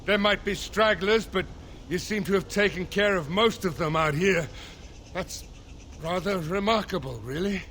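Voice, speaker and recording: Fantasy game castle soldier: high, bright voice, formal, earnest, respectful messenger. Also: male voice, moderate pace, slight background noise. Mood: impressed. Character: relieved, respectful male castle soldier delivering urgent news. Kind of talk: video game dialogue acting.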